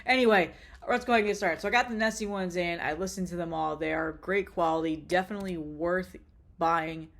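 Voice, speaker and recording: A woman's voice: lowish voice, a little fast, gravelly, a bit bright. Congested, rambling woman vlogger. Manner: rambling, blunt and profane, lots of ums. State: congested with a cold. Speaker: vlogger showing her cassette collection. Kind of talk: casual chatty collection vlog.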